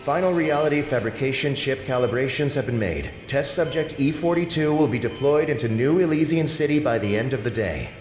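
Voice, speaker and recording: An actor playing a matter-of-fact lab technician in a sinister video game facility. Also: male voice, mid-range voice, lively intonation, a little fast, hardly any pauses, a little mellow, close to an everyday voice. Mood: clinical. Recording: long echoing reverb, faint music behind.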